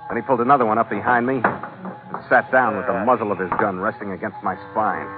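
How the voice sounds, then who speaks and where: A man's voice: fairly low pitch, fairly even intonation, fast, close to an everyday voice. An actor playing a tough, wry American sea captain, narrating his adventure tersely in a vintage radio drama. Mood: tense. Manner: terse, wry, hardboiled storytelling. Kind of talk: 1940s-50s radio adventure narration and acting. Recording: tinny vintage radio recording with music.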